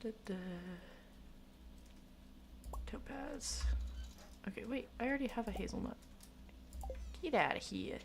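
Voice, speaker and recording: A woman's voice: a little high, moderate pace, vocal fry, a little hiss or hum. A woman from Oklahoma with a light Oklahoman accent, chatting casually with her chat while gaming, rambling and giggling at herself. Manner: rambling, self-mocking, giggly. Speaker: streamer.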